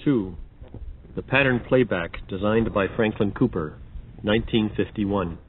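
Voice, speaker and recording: A man's voice: fairly low pitch, a little slow. A man narrating an audio demonstration, reading scripted announcements. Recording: dull, noisy old record transfer.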